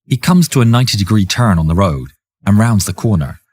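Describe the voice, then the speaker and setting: Husky, raspy male storyteller, dramatic delivery. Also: fairly low pitch, expressive, brisk, darkish tone, dry recording. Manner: theatrical, suspenseful storytelling. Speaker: narrator of war history stories. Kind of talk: dramatic scripted narration.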